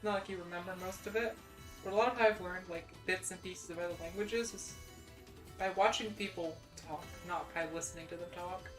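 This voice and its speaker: A woman's voice: fairly low pitch, fairly quick, over music. Rambling young Midwestern woman streamer. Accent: General American (Midwestern). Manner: rambling, many "like"s and restarts, self-deprecating. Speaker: VTuber streamer. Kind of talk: casual stream chat.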